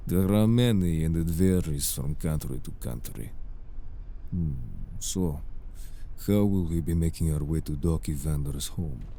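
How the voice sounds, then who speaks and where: A man's voice: a bass voice, mellow, slight background noise. A man playing a composed, exasperated merman in human form, speaking formally and dryly in a scripted audio drama. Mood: calm, measured. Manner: formal, measured, dry. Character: composed, exasperated older-brotherly male merfolk in human form. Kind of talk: scripted audio roleplay acting.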